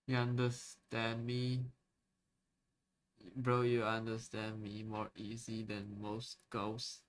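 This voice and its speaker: Young man, Malaysian English accent, native Chinese speaker, lowish voice, casual rambling chat, frequent fillers. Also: completely flat delivery, slow, low-quality recording. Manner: soft, rambling, many fillers and restarts. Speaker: streamer. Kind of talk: casual chat while drawing on stream.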